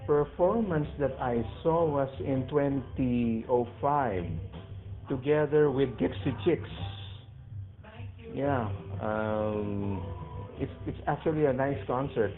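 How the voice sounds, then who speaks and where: A man's voice: medium pitch, slow pace. A man with a Filipino accent chatting casually over two-way radio, hesitant and searching for his words. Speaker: amateur radio operator in an on-air conversation. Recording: phone-like radio audio, faint music behind.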